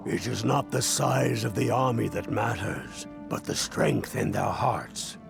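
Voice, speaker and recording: A man playing a noble, solemn liege commanding an army in a fantasy game, stately and measured. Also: on the low side, creaky, music in the background. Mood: solemn, approving.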